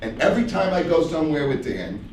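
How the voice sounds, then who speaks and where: A man speaking as a panelist at a business networking event, loud and animated, acting out examples. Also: on the high side, fast talker, slight background noise. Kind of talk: panel discussion. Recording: echoey room, distant mic.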